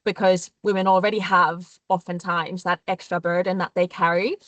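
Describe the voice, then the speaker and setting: A woman panelist giving measured, reflective answers in a thoughtful webinar discussion. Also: lowish voice, little pitch movement, fairly bright tone, no room sound, highs cut off.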